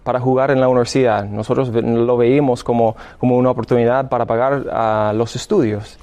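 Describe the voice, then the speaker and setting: A man's voice: mid-range voice, fairly even intonation, warm tone, lo-fi sound. American man, hesitant Spanish. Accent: American English. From Illinois, United States. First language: English. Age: 30s. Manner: halting, many restarts. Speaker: seminarian guest. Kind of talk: radio interview testimony.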